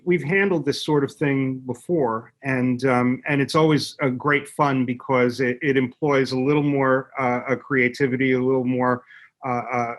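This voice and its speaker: A man with a mid-pitched voice explains things as an expert guest in an interview. His delivery is conversational but halting, with repeated words and firm emphasis on key phrases. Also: a bit bright. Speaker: interview guest, media company executive.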